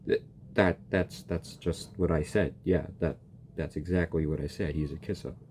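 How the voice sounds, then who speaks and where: A young man reacting to a video game for his channel, joking and excitable, talking back to the characters. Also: sits low, normal speed, slight background noise. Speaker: gaming YouTuber. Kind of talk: casual game reaction commentary.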